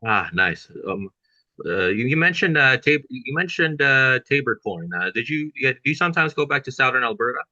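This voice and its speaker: A man hosting a podcast interview with casual questions, speaking haltingly and repeating words, on a dull lo-fi remote call. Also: medium pitch, animated, sharp tone, no room sound. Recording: dull lo-fi voice track.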